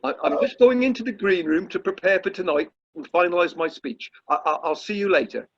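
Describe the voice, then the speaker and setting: A pompous, flustered British club chairman acted by a man, with a very high male voice and a stammering, fussy way of talking. The radio play has muffled video call sound. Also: lively intonation, brisk, vocal fry, fairly bright tone, husky, exaggerated voice. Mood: soothing. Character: self-important, flustered drama society chairman. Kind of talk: amateur radio play acting.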